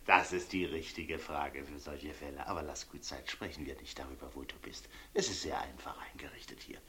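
An actor playing a fawning, morbidly affectionate executioner in a German radio play, chatty and theatrical, muttering and gushing by turns. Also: male voice, extremely low pitch, fairly quick, sharp tone, full character voice. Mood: confiding. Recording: noisy old radio recording with clicks.

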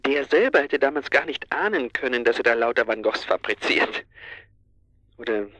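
A man's voice: mid-range voice, lively intonation, normal speed, pushed and bright, no room sound. An actor playing a chatty man on holiday in a radio drama, rambling and hesitant, anecdotal and slightly defensive. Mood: fond, anecdotal. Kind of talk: naturalistic German radio drama.

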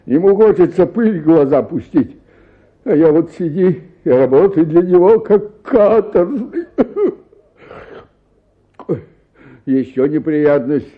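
A man's voice: fairly high pitch, very animated, slow, long pauses, narrow, tinny audio, heavily acted. An actor playing an exhausted, ailing and irritable bank official in a theatrical radio play, groaning and sighing as he talks. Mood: aggrieved self-pity.

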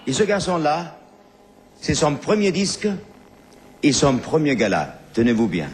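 A man's voice: on the high side, big pitch swings, normal speed. A man presenting a live gala on television, building up a dramatic announcement in an archive broadcast. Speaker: TV show presenter.

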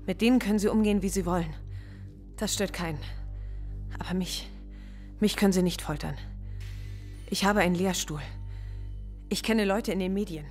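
An actress playing a philosophy professor in a radio play, insistent and defiant under police questioning. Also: female voice, medium pitch, close to an everyday voice. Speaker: detainee.

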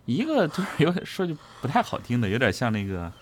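A man's voice: mid-range voice, wildly swooping pitch, quick pace, a little hiss or hum. A man hosting a listening session, chatting casually as he comments live. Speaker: host of audio drama listening session.

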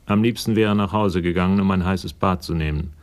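A man's voice: sits low, fairly quick. A man reading scripted narration for a radio crime drama.

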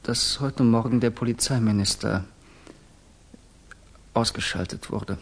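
An actor playing a fervent young student revolutionary who confides in a deliberate, rhetorical way, in 1960s German radio drama style. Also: male voice, medium pitch, creaky. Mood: earnest, confessional.

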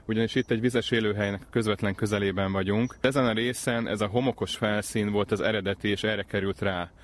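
A man explaining things as a conservation specialist in an outdoor interview, measured and precise. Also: lowish voice, fairly even intonation. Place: outdoors in a wetland reserve.

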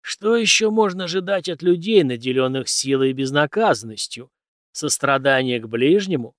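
A man's voice: mid-range voice, animated, normal speed, no echo, clean studio sound, natural voice. A man voicing a stern, cynical, commanding mentor in an audiobook, lecturing emphatically with rhetorical questions. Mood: scornful, forceful. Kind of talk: audiobook character dialogue.

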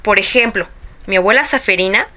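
A woman's voice: mid-range voice, a little fast, sharp tone, close to an everyday voice. An actress with a Mexican accent playing a bashful, chatty, peace-making older woman in a radio drama. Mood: reminiscing. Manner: timid, coy, placating.

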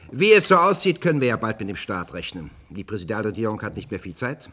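Regime official, deep, courteous, officious, confiding, radio drama dialogue. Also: male voice, very animated, fast talker, sharp tone, heavily acted. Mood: businesslike. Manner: officious, polite, hesitantly confidential.

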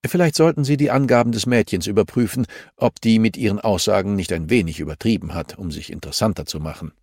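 A man's voice: lowish voice, fast, a little mellow, no echo, studio quality. A man voicing a stern older male judge in an audiobook, brusque, formal, skeptical and unyielding. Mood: dismissive. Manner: formal, curt, officious.

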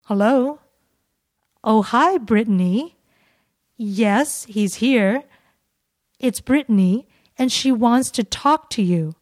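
A woman's voice: a little high, slow, long pauses, a little mellow. A woman playing a friendly young woman who answers the phone in a scripted dialogue, speaking lightly and matter-of-factly. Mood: casual.

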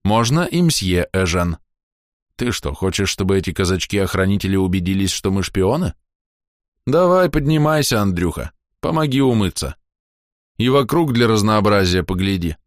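A man voicing a bossy, teasing male travel companion in an audiobook, brisk and jocular. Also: on the low side, big pitch swings, no room sound, clean studio sound. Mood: instructive, jocular.

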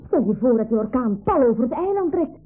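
A woman's voice: fairly high pitch, very animated, a little fast, soft background music. A woman playing an alert, eager young girl adventurer in a radio drama, speaking earnestly. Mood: eager, awed. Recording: tinny old radio play recording.

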